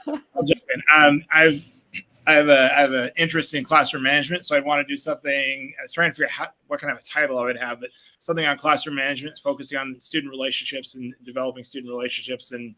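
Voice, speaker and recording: A man speaks as a guest educator in a panel conversation. His voice is bright and forceful at a medium pitch. He explains things at a quick clip. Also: level tone.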